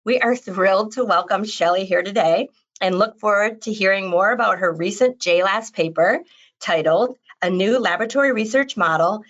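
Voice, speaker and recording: A woman's voice: medium pitch, fairly bright tone, dull audio. A woman podcast host who is a veterinarian, reading a scripted intro and then chatting eagerly with her guest. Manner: enthusiastic, effusive.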